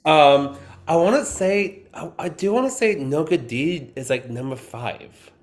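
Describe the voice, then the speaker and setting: A man's voice: fairly high pitch, expressive, a little slow. A man guesting on a podcast, chatting casually and thinking aloud with eager curiosity. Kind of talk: casual chat.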